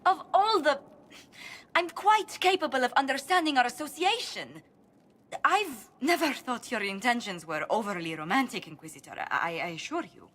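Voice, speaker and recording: A proper young-hearted noblewoman for a fantasy game, earnest and easily flustered. Her speech is polite and precise, with lively, swooping pitch over game sound. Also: normal speed. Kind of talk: video game cut-scene acting.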